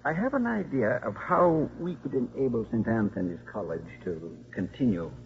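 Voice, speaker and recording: An actor playing a gentle, drily humorous priest in his sixties, mild and a little hesitant, in a 1950s radio drama. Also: medium pitch, expressive, moderate pace, creaky, slight background noise. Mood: deferential, sly. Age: 60s. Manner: hesitant, mild, wryly formal. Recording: muffled 1950s radio broadcast.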